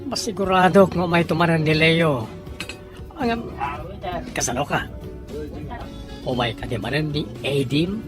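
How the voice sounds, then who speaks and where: A woman voicing a pushy confidante who urges a scheme in low, conspiratorial advice, in an audio drama. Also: animated, a little slow, pauses often. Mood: conspiring. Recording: clear music behind.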